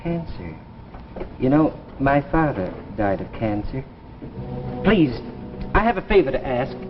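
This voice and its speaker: An actor playing an eager, anxious young lab assistant who travels through time, earnest and stammering, in 1950s sci-fi drama style. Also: male voice, medium pitch, sing-song, measured pace. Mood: pleading. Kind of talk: 1950s radio-era sci-fi drama acting. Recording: dull vintage broadcast, long reverb, music behind.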